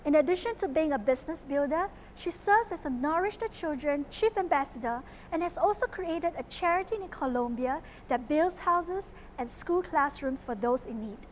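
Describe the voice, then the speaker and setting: A woman hosting an event, reading scripted introductions in a loud, upbeat way. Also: high voice, lively intonation, normal speed, hardly any pauses, slight background noise. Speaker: event host.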